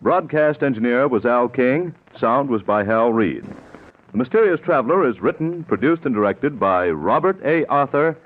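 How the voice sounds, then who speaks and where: A man's voice: medium pitch, animated, normal speed, slight background noise. A man announcing formally in 1940s radio style. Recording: narrow old radio recording with organ music.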